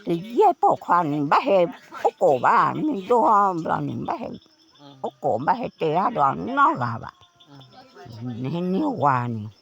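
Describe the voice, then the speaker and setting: Slow gravelly woman telling a folk tale. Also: lowish voice. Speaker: storyteller.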